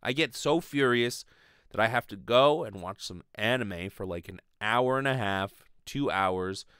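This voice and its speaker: A man in his thirties giving a casual comic monologue as a podcast host, animated, rambling and sweary, close on a desk mic. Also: lowish voice, measured pace, clean tone, no room sound. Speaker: podcast host, improv performer. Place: small bedroom, indoors. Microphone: desk condenser mic with pop filter, close.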